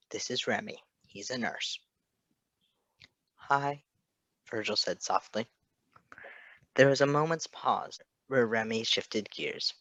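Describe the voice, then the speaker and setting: A man's voice: lowish voice, fairly bright tone, no echo, lo-fi sound. A man reading a piece of fiction aloud as narrator, in scripted story narration. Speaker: fan fiction reader.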